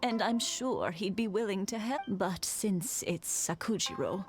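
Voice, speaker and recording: A woman playing a kindly, wistful elderly wife and mother in a video game, speaking gently and without hurry. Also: medium pitch, lightly acted. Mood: sorrowful. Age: older. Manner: gentle, unhurried. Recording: music behind the voice.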